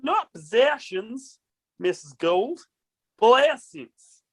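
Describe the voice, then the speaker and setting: A woman playing a solemn, stern exorcist with a measured delivery in an amateur radio play. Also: fairly high pitch, big pitch swings, breathy edge, full character voice. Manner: measured, deliberate.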